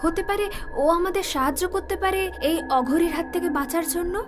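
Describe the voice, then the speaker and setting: A woman's voice: very high voice, brisk, pitched above the performer's own voice. A woman voicing an earnest, respectful cartoon nurse who speaks in an urging way. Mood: earnest, hopeful. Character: earnest young nurse pleading with a doctor. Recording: loud music behind the voice.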